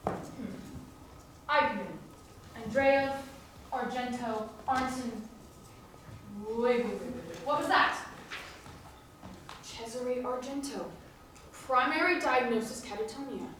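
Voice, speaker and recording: A woman playing a bold, quick-witted schemer in a stage musical, with a brassy, playful, theatrical delivery. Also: fairly high pitch, a bit bright. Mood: curious. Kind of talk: live stage musical acting. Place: staged reading before a live audience. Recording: echoey hall, distant mic, clear noise.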